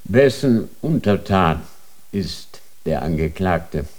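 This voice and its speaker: A man's voice: low voice, animated, slow, a little mellow. An actor playing a stern male inquisitor of a holy tribunal, interrogating in a cold, formal manner in a radio drama.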